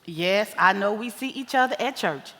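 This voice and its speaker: Actress as folksy American mama, echoey hall. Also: female voice, medium pitch, lively intonation, a bit bright. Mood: chiding, playful. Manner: folksy, drawn-out emphatic phrasing. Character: older mother, folksy, wise, teasing, doting and blunt. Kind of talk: stage play acting. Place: live stage in a reverberant hall.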